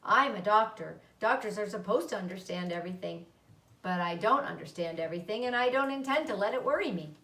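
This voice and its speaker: A woman's voice: medium pitch, lively intonation, fairly quick, sharp tone. A woman reading a children's book, voicing a thoughtful, unworried old male doctor in a musing, reassuring manner. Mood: philosophical. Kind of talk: children's book read-aloud. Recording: roomy echo, distant microphone.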